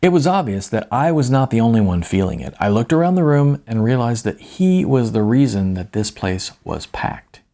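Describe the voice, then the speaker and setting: A man narrating a first-person story in a wry, colloquial, emphatic storytelling manner, with a slightly husky voice. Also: mid-range voice, sing-song, moderate pace, few pauses, mellow. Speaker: author reading own novella.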